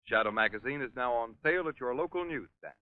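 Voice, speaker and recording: A man's voice: mid-range voice, expressive, fast talker. A man reading formal scripted announcements as a 1930s radio announcer. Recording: tinny, narrow 1930s radio recording.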